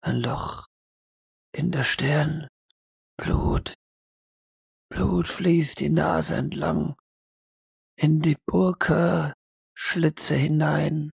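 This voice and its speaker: A man narrating a role-play audio drama as game master, hushed and suspenseful, in short deliberate fragments. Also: a little high, fairly even intonation, gravelly, breathy edge, no room sound, studio quality.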